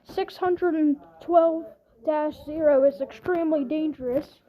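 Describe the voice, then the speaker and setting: A woman delivering an improvised mock emergency alert announcement in a halting way, often repeating herself and restarting. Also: high voice, fairly even intonation, highs cut off.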